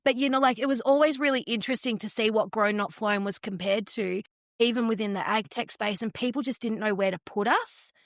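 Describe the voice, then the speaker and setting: A woman's voice: on the high side, fairly quick, no echo, clean studio sound. A woman with an Australian accent, speaking as a podcast guest in an earnest, explanatory, conversational way. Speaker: podcast guest, flower industry entrepreneur. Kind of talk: conversational interview.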